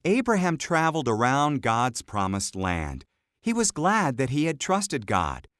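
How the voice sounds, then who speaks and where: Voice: medium pitch, wildly swooping pitch, measured pace, no echo. A narrator reading a scripted children's Bible story.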